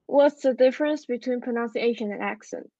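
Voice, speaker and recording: A young woman in her twenties hosting, asking tutors questions on a video call in a small bedroom, bubbly and quick to laugh. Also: fairly high pitch, mellow, muffled recording. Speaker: host interviewing tutors. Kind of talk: casual interview and vlog.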